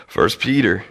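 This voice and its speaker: A young man with a cold giving a casual, halting Bible teaching to his church, with fillers and light laughs. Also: medium pitch. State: has a cold.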